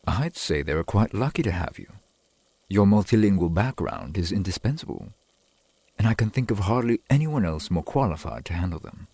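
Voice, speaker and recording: Husky, low-voiced courtly vampire boyfriend played by a man. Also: expressive, normal speed, a little mellow, no room sound, heavily acted. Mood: tender, proud. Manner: formal, archaic, genteel phrasing. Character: courtly centuries-old vampire boyfriend, adoring and proud. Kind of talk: ASMR boyfriend roleplay.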